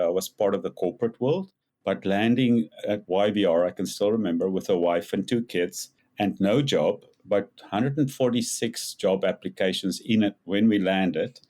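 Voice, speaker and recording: An older man with a South African accent, a podcast guest telling his story in a lively, animated way. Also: fairly low pitch, normal speed. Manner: animated storyteller, rises when acting out quotes. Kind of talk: casual interview storytelling.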